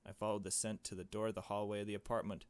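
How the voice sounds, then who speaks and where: A man reading a visual novel aloud as a let's player, in hushed storytelling narration with casual asides. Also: fairly low pitch, little pitch movement, racing.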